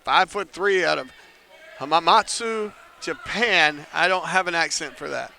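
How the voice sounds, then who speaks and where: A man calling a basketball game live from an indoor arena, chatty, making joking asides about himself and rising to near shouts on big plays. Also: a little high, moderate pace, creaky. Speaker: play-by-play commentator.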